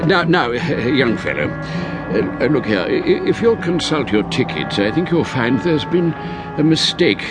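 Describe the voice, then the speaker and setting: Loud music sits behind an elderly British man in a radio drama. He is fussy and hesitant, stammering at an unhurried pace with a lively, highish pitch. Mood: flustered, insistent. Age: older. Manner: hesitant, stammering, repeating himself. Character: fussy, flustered elderly British gentleman passenger.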